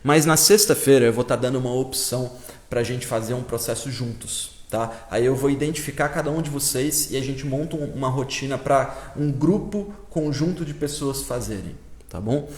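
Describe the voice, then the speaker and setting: A man's voice: medium pitch, moderate pace, darkish tone. Brazilian man teaching in a roomy echo. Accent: Brazilian Portuguese. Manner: casual explaining, reads out viewer names, constant "tá?" check-ins. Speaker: Ayurveda teacher hosting a live stream. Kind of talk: live-stream Q&A lesson.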